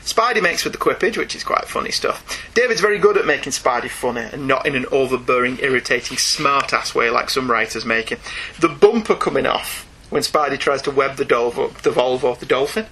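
A man's voice: medium pitch, animated, fairly quick, sharp tone. A British man hosting a comics podcast, moving between scripted review and casual, wry, articulate banter.

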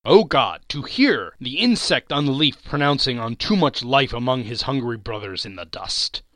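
A man voicing a solemn, stern male ghost who speaks loudly and slowly, rebuking. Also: mid-range voice, very animated, no room sound. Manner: loud, forceful and slowed for emphasis.

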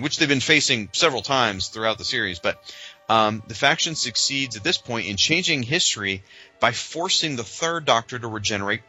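A man's voice: medium pitch, pushed and bright, muffled recording. A man co-hosting a podcast, talking in an informal, opinionated, chatty way as he discusses things from loose notes. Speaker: podcast co-host.

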